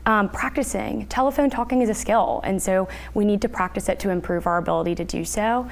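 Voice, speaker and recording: A woman's voice: mid-range voice, a little fast, vocal fry. A woman speaking as a therapist guest, giving explanatory answers in a news interview. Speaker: therapist guest, licensed counselor.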